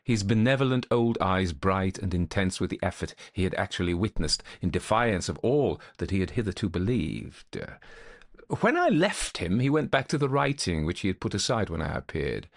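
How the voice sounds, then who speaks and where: Male performer as methodical gentleman investigator of the supernatural. Lowish register, highly animated melody, formal old-fashioned diction, dramatic ghost-story narration. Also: moderate pace. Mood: dramatic, intense, then animated recounting. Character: gentlemanly, methodical male investigator of hauntings recounting his case.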